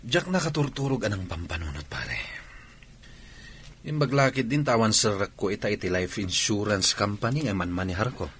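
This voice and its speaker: A man playing a scheming, smitten insurance manager in a radio drama, with animated delivery. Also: fairly low pitch, normal speed, slightly rough.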